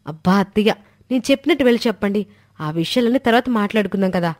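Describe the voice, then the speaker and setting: A woman's voice: medium pitch, animated, fast, a little mellow, close to an everyday voice. A woman playing a young village daughter-in-law in a storybook drama, emphatic, confident and persuasive. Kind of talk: dramatized Telugu moral story dialogue.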